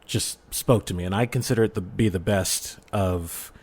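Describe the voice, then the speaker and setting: A man's voice: sits low, moderate pace, darkish tone, husky. A middle-aged man chatting casually as a podcast guest and telling personal stories with enthusiasm. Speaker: podcast guest, audio drama creator. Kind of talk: casual chat, personal storytelling.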